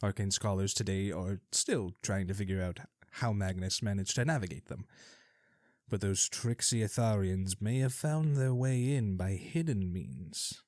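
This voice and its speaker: A man's voice: fairly low pitch, a little slow, darkish tone, no echo. A man hosting a scripted fantasy radio show, delivering the news in a wry, theatrical way with dry asides.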